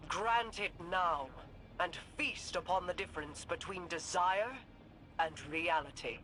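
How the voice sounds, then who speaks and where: A woman playing a regal, solemn queen with formal, deliberate delivery in a fantasy video game. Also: lowish voice, noisy background, natural voice. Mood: solemn command. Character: regal, solemn queen commanding ancient magic.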